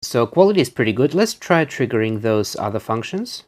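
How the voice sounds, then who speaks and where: A man presenting a casual hands-on electronics demonstration, explaining things in a halting way. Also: medium pitch, sing-song, darkish tone. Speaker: presenter reviewing electronics on video.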